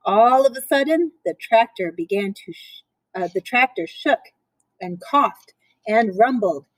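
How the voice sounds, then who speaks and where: A woman with a fairly high voice reads a children's story at a slow pace. She is playful and expressive, slipping into chatty asides. The sound is close and dry. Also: no echo, poor audio. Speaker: storyteller reading a picture book aloud.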